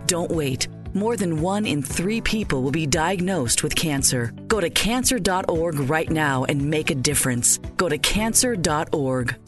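A woman's voice: low-pitched, lively intonation, a little slow, hardly any pauses, faint music behind. An American woman reading a scripted charity appeal as an announcer. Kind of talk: scripted charity advertisement narration.

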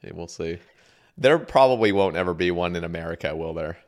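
A man with a very low voice hosts a podcast. He sounds croaky, as if he just woke up. He chats loosely, full of fillers and restarts. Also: fairly quick. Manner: relaxed, rambling with fillers and restarts. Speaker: podcast host. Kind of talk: casual chat.

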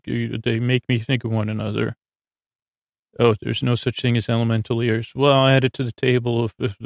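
A man with a gravelly, fry-heavy voice hosts a sleep podcast in a close, dry recording. His pitch stays quite flat as he meanders through his monologue. He halts, stammers and repeats fragments of words as he goes. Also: dry recording. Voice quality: creaky, gravelly. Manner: meandering, halting, stammering, repeats and trails off mid-word. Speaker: podcast host.

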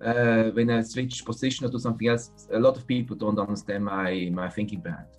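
A man's voice: lowish voice, little pitch movement, darkish tone, faint music behind. A man from Switzerland with a Swiss accent, a podcast guest talking frankly and often restarting his sentences. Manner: frank, halting, restarts phrases mid-sentence. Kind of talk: casual interview conversation.

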